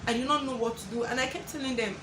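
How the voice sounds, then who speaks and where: A young woman with a Nigerian accent, telling a personal story to her viewers in an animated way and acting out the voices of others. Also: fairly high pitch, rapid-fire. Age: young adult. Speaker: vlogger. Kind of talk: storytelling to camera. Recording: echoey room with background noise.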